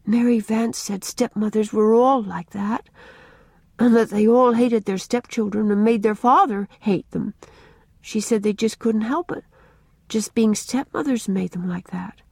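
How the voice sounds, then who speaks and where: A woman's voice: a little high, fairly even intonation, measured pace, vocal fry, darkish tone, breathy edge, no echo, clean studio sound, pitched above the performer's own voice, exaggerated voice. A woman voicing an earnest, timid young girl who pleads in a breathless, eager way. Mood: anxious. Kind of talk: audiobook character reading.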